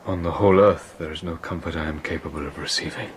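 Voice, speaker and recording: An actor playing a tormented, guilt-ridden young scientist in a radio drama, speaking gravely and haltingly in formal period language. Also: male voice, rumbling low, steady tone, slight background noise. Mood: bleak, numb.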